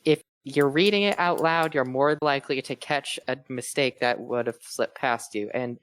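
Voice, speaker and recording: A man's voice: mid-range voice, normal speed, a bit bright, clear, resonant tone, dry recording. A man chatting casually as a panelist, sharing his experience in an earnest, phrase-by-phrase way. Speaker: guest panelist.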